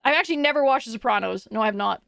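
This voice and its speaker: A woman's voice: fairly high pitch, lively intonation, racing, creaky, a bit bright, no room sound, studio quality. A young adult woman streaming from home, chatting casually with her chat. She rambles, restarts her sentences often and laughs a lot.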